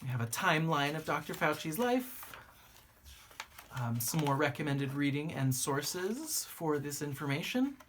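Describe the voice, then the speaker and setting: A woman reading a children's book aloud like a teacher, with deliberate phrasing and expressive pauses. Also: deep voice, a little hiss or hum.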